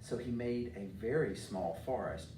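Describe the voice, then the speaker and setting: A man's voice: mid-range voice, moderate pace. A middle-aged American man speaking informally to children as a community leader and storybook reader. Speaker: mayor reading to children. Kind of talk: informal talk and storybook read-aloud for children. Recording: roomy echo, distant mic, faint noise.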